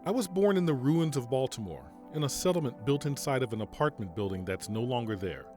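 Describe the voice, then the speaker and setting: An actor playing a calm, formal mercenary general in an audio drama, speaking in a measured way. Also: male voice, mid-range voice, faint music behind, natural voice. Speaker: military general.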